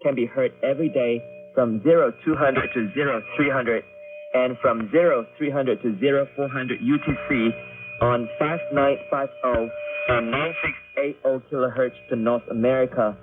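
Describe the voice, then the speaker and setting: A man's voice: mid-range voice, fairly even intonation, moderate pace. Male radio host on a tinny broadcast. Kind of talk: radio announcing and co-hosted chat. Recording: tinny shortwave radio recording with music.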